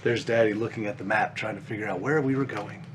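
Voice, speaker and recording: A man's voice: on the low side, fast talker, background noise. A man reading his own children's picture book at storytime, explaining the pictures simply as if to young children.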